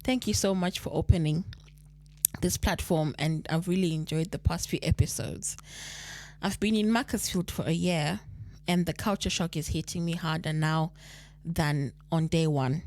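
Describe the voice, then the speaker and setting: A woman's voice: low-pitched, normal speed. A Zimbabwean woman co-hosting a podcast, chatting casually and reading a listener letter, slipping into Shona now and then. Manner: relaxed, repeats phrases, mixes Shona into English. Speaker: podcast co-host.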